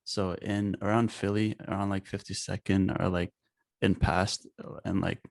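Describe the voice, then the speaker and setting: Deep gravelly young man, halting speech. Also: level tone, no room sound, clean studio sound. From Bangladesh. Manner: halting, filler-heavy, says like a lot. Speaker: podcast guest. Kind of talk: casual podcast chat.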